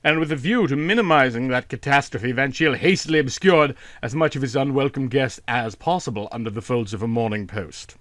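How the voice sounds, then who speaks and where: A man narrating a literary story from a script in a dramatic, expressive storytelling manner. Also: mid-range voice, a little fast, hardly any pauses, no room sound. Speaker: audiobook narrator.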